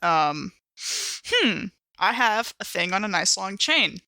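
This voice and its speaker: A woman's voice: medium pitch, big pitch swings, slow pace, bright, forceful tone, no echo, studio quality. A woman playing a tabletop game, talking casually as she works out her moves.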